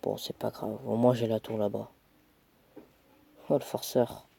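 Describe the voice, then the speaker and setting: A man's voice: fairly low pitch, level tone, measured pace, creaky, mellow, a little hiss or hum. A young man with a cold, casually commentating his own mobile game match, chatty and self-mocking, muttering as he plays. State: stuffy with a cold.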